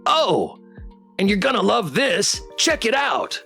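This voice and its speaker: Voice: medium pitch, sing-song, slow, vocal fry, bright, forceful tone, faint music behind, pitched above the performer's own voice, full character voice. A game streamer voicing a small, manic, rebellious living plush toy with sassy, emphatic delivery. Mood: proud, excited. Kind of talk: reading game character dialogue aloud.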